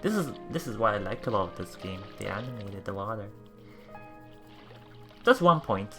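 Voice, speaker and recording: An excitable game streamer who reads story text aloud and reacts casually, often repeating words and phrases. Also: deep voice, moderate pace, over music. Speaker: let's play streamer.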